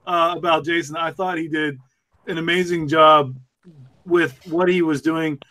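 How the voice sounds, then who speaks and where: A man's voice: fairly high pitch, fairly even intonation, a little slow, pushed and bright. A man on a podcast panel chatting casually in a jokey, rambling, emphatic way. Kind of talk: casual group chat.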